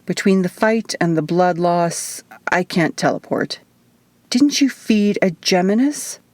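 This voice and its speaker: A woman's voice: lowish voice, lively intonation, measured pace, darkish tone, no room sound. A woman reading as a proud, sardonic wounded man in an audiobook, sneering and easily irritated. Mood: defensive sneering. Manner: sneering, grumbling.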